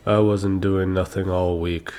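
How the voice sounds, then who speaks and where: A man's voice: low-pitched, little pitch movement, a little slow, warm tone, faint music behind. A man playing a scornful ex-cop hired by the mob in an ASMR roleplay, blunt and dismissive. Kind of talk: ASMR roleplay audio drama.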